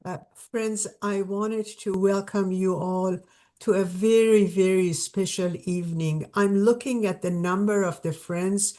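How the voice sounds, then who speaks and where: Slow, hesitant woman hosting a video call. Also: medium pitch, steady tone, warm tone. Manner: hesitant, many fillers and restarts. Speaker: host of an online gathering. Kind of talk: warm spontaneous welcome and introduction.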